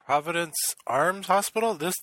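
A man running a tabletop horror game, describing scenes calmly and asking players for rolls. His voice is bright and forceful. It sounds dry and close. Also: high voice, normal speed, no room sound. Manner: calm descriptive narration, calls for rolls. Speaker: game master.

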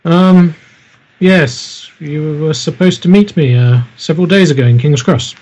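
A man playing a tabletop game with friends, making quick joking asides. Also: on the high side, darkish tone, rough recording. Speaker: player. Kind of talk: casual tabletop game chat.

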